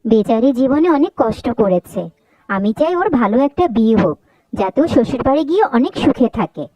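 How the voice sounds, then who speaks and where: A woman's voice: a little high, very animated, brisk, warm tone, highs cut off. A woman playing a kind, outspoken young daughter in a cartoon, speaking earnestly and plaintively. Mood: pleading. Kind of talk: Bengali moral-story cartoon dub.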